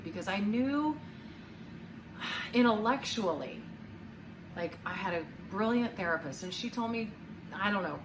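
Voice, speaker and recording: A woman in her forties, an animated, loud-voiced coach, talking casually and frankly to camera with lots of fillers and restarts. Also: on the low side, distant mic, noisy background. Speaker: relationship coach. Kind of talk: casual vlog talk to camera.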